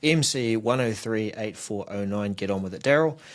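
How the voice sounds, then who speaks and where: A man with a New Zealand accent co-hosting a casual tech podcast, bantering dryly and explaining features in a relaxed way. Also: on the low side, normal speed. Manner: dry, playful banter. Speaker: co-host.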